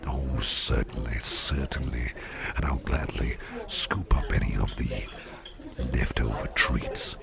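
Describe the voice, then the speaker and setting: Man playing an extremely deep, gravelly devilish boss. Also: heavily acted. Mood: fond, courteous. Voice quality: very gravelly, breathy murmur dropping into whispers. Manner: courtly, unhurried, repeats phrases appreciatively. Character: suave devilish male businessman boss, doting and gracious. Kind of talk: soft-spoken ASMR roleplay. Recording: party crowd murmur behind voice. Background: crowd chatter.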